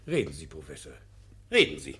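A man's voice: rumbling low, wildly swooping pitch, brisk, creaky, a bit bright, exaggerated voice. A man playing a self-important, middle-aged family man and moraliser in a German radio play, pompous and patronising. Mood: approving.

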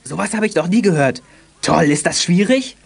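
An actor playing an excitable, curious schoolboy in a youth radio play. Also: medium pitch, moderate pace, vocal fry, breathy edge. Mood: excited.

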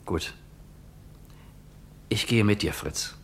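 An actor playing a loyal, worried young friend in a radio play, speaking quietly with concern. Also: male voice, fairly low pitch, slow, slight background noise.

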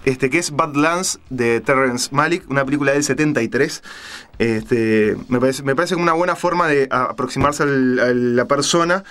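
A man's voice: medium pitch, moderate pace, fairly bright tone, clear, resonant tone. A man with a Rioplatense Spanish accent hosting a radio show in casual, unscripted talk. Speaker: radio host.

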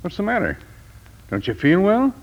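Excitable, folksy hotel desk clerk. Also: male voice, sits high, normal speed, heavily acted. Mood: solicitous. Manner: folksy, solicitous. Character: friendly, fussy, easily flustered male hotel desk clerk. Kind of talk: 1940s radio drama acting. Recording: muffled, noisy 1940s radio broadcast.

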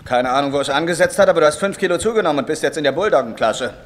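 A man acts a gruff, commanding sports coach in a radio play. He talks fast in a fairly high male voice over noticeable background noise. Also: lively intonation, lightly acted. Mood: brisk. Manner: brisk, commanding. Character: brisk, gruff school wrestling coach. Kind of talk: German audio drama acting.

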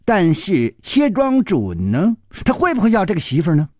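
A man's voice: sits high, wildly swooping pitch, moderate pace, mellow, no echo, clean studio sound, pitched above the performer's own voice. A man voicing a cheeky, mocking half-grown street boy in a storybook. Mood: curious.

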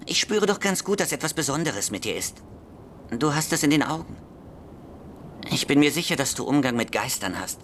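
Over a clearly noisy, rumbling background comes a rather high-pitched schoolboy in a radio drama. He is lonely and agitated, confiding with urgent, hasty earnestness. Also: very animated, moderate pace, pauses often, a bit bright. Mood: urgent, pleading. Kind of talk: German literary radio drama.

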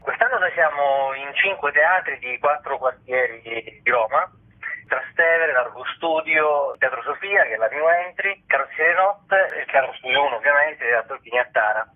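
A man's voice: medium pitch, normal speed. A man explaining things as a radio interview guest over the phone, often restarting his phrases. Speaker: festival director, interview guest. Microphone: telephone call into radio show. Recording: tinny, narrowband phone line.

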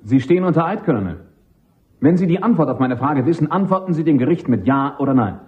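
An actor playing a principled, stubborn army captain and defence lawyer in a radio drama, precise, insistent and formally respectful. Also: male voice, a little high, lively intonation, quick pace, lightly acted. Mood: insistent, probing. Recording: dull, muffled old radio recording.

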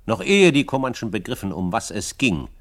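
An actor playing a self-assured frontiersman who narrates his own adventures in a radio drama, in a measured storytelling manner. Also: on the low side, big pitch swings. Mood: assured, suspenseful. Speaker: first-person narrator.

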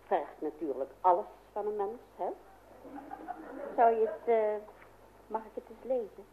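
An actress plays a brisk older mother in a radio play, soothing and gently teasing by turns. She has a medium-pitched voice. The sound is thin and boxed-in, like an old radio set. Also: a little hiss or hum, close to an everyday voice. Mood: motherly. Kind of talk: Dutch radio play acting. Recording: tinny band-limited old radio recording.

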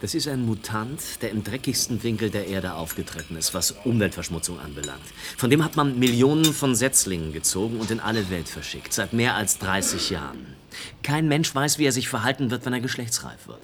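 Tiefer, eindringlicher Chef. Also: male voice, fairly low pitch, very animated, fairly quick, noisy background. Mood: alarmed, insistent. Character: alarmed, authoritative boss of a small investigative office. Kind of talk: naturalistic radio drama dialogue.

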